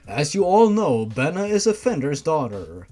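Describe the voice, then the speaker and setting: A man's voice: fairly high pitch, very animated, moderate pace, dark tone. A man voicing an authoritative father in a story, speaking in a formal, announcing manner. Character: authoritative father addressing his monster household at breakfast.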